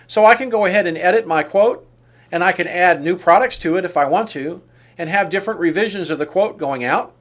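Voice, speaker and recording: Man, slightly high voice, step-by-step tutorial narration, explanatory delivery, software demonstrator. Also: fairly quick. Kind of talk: walkthrough tutorial narration of on-screen steps.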